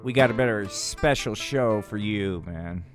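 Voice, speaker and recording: A man's voice: lowish voice, unhurried, music in the background. A man hosting a podcast with loose comic storytelling, laid-back, slangy and rambling. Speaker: podcast host.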